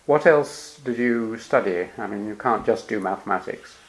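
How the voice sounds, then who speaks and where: A man interviewing a guest, asking questions gently and filling pauses with murmured acknowledgements. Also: on the low side. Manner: gentle prompting with murmured "hmm" and soft laughs.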